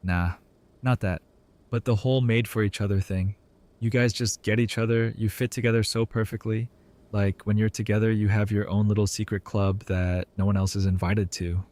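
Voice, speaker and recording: Low-voiced actor as a wry young doctor. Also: male voice, flat delivery, moderate pace, darkish tone, natural voice. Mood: sincere, reflective. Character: wry, earnest young male doctor, loyal teasing friend. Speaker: voice actor in a fan audio drama. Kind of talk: conversational audio drama acting.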